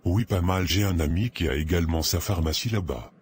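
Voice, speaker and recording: A man's voice: deep voice, a little fast, lightly acted. A man playing a supportive, practical business friend in a cartoon drama, agreeable, with faint noise. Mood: interested. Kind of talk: animated moral drama dialogue.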